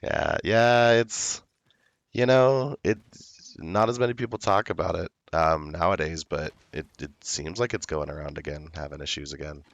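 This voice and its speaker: A young man streaming a game, with a hoarse voice from illness, chatting slowly and loosely. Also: deep voice, no echo. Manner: slow, rambling, laid-back talk. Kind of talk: casual livestream chat.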